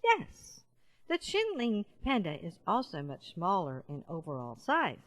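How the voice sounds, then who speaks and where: A woman's voice: mid-range voice, wildly swooping pitch, a little slow. A woman voicing a friendly giant panda in a children's audiobook, playful and talking straight to young listeners. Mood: cheerful, explaining.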